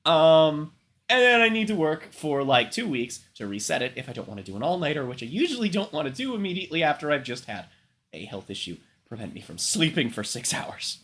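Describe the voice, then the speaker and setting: A man's voice: on the high side, big pitch swings, a little fast, fairly bright tone. A man who is sick and congested, coughing now and then as he rambles through a casual livestream chat, swearing often and filling gaps with ums. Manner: rambling, frequent swearing and filler words. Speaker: livestream host.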